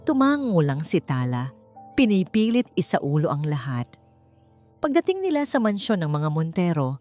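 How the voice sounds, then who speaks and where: Woman, Filipino accent, dramatic story narration, lively intonation, faint music underneath. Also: lowish voice. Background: background music.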